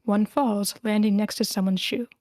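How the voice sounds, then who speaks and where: A woman's voice: mid-range voice, steady tone, moderate pace, a little mellow, no echo, clean studio sound. A woman hosting a screenplay table read, switching between informal, encouraging chat and narration of stage directions. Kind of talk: casual hosting and script narration.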